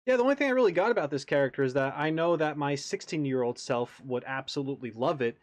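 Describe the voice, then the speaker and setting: A man's voice: on the high side, lively intonation, quick pace, no echo. A man giving casual, wry, joking reaction commentary and swearing freely. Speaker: reaction video host.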